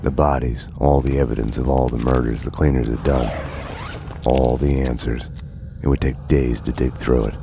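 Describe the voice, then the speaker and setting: A very deep, brooding noir detective. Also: male voice, moderate pace, gravelly, noisy background. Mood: grim, fatalistic. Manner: terse, fatalistic, deadpan. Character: brooding, world-weary middle-aged male detective narrating his own downfall. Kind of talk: noir monologue and game dialogue. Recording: dramatic music under the voice.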